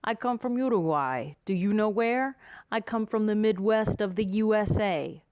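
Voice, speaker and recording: A woman's voice: mid-range voice, moderate pace, darkish tone, clear, resonant tone, no echo. A woman giving short reflective answers in an edited montage.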